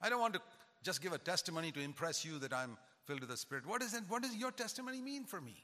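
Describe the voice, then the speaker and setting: A man's voice: high-pitched, big pitch swings, racing, pushed and bright, big-room sound. A man who is a pastor, preaching a sermon with emphatic, repetitive cadence.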